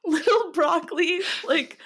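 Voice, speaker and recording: A woman's voice: extremely high pitch, a little slow, a bit bright. A woman co-hosting a podcast in a casual, comedic chat, giggly and colloquial in the way she talks. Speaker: podcast co-host.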